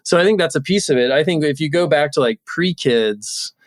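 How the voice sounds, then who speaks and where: A man's voice: fairly high pitch, expressive, a little fast, no room sound, studio quality. A man in his thirties talking casually and reflectively as a guest in an interview. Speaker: podcast guest, entrepreneur.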